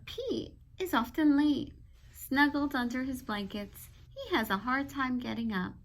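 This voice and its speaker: A woman's voice: on the high side, poor audio. Woman reading aloud to young children. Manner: instructive, addressing young children with check-in questions. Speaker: classroom teacher reading a children's book aloud. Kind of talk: children's read-aloud with simple explanatory asides.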